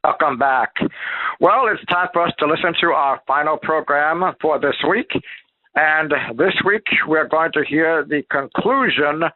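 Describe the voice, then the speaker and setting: A man's voice: on the high side, unhurried. A man hosting an old-time radio program, introducing a broadcast to listeners. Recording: thin, phone-like, band-limited sound.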